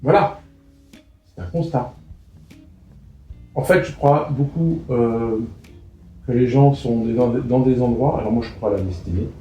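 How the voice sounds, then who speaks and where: A man guest talking casually in blunt, deadpan replies. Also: medium pitch, a little slow, pauses often, roomy sound, highs cut off, faint music behind. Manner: blunt, deadpan, short answers. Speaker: guest, submissive partner. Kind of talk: casual chat.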